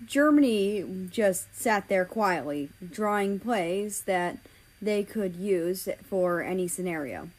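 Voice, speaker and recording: A woman's voice: medium pitch, lively intonation, takes it slowly, rough recording. A woman narrating fan fiction in an audiobook style, acting out the dialogue between passages.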